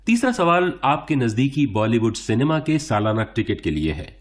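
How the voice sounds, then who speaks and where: Showy male actor as a quiz show host, animated. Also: mid-range voice, normal speed, natural voice. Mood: upbeat. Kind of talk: radio quiz show acting in Urdu. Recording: music under the voice. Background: music.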